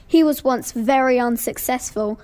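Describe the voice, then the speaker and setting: A woman's voice: high voice, moderate pace, darkish tone. A young girl narrating a scripted amateur radio play.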